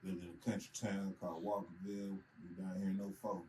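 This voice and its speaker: A man's voice: sits low, little pitch movement, fast, dark tone. A man from Michigan answering as a rapper guest in a casual group interview. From Michigan, United States. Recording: echoey, distant mic with background noise.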